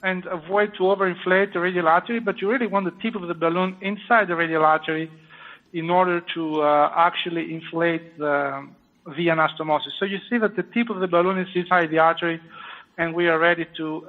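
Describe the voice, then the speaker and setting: A man with a French accent, a surgeon explaining a live procedure as he works, halting with fillers. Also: fairly high pitch, fairly even intonation. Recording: tinny phone-like line.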